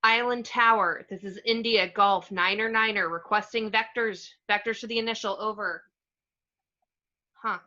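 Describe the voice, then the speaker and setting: A woman's voice: mid-range voice, steady tone, normal speed, sharp tone, lo-fi sound, lightly acted. A woman playing an anxious, take-charge superhero mother in an amateur online table read. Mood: businesslike.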